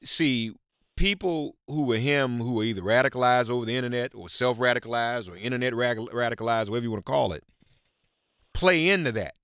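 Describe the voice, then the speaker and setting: A man hosting a live political call-in radio show, halting and emphatic, repeating words for stress as he reads news and talks with callers. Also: medium pitch, a bit bright, clean tone, no echo, clean studio sound.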